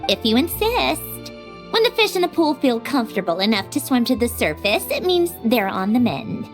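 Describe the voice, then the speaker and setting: Haughty young woman, high-pitched, very animated intonation, dry wit, teasing, anime game, music underneath. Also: normal speed. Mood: aloof.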